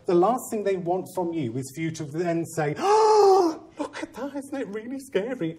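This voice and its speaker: A man's voice: on the high side, a little mellow. A Welsh man in his forties, a head teacher speaking at a conference to a room of teachers, theatrical and teasing, acting out quoted voices. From Wales. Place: conference training room with seated audience.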